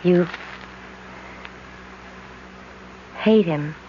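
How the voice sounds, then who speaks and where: A woman's voice: fairly low pitch, measured pace, natural voice. An actress playing a tired, unwell young woman, a twin sister, in a 1970s radio drama, speaking drowsily. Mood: entranced. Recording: dull vintage radio recording with hiss.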